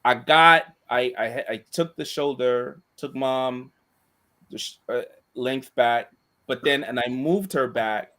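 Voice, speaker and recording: A man in casual chat who tells a long story in a monotone voice, rambling with many restarts and asides. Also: mid-range voice, slow. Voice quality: monotone voice, self-described. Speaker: co-host and school staff member.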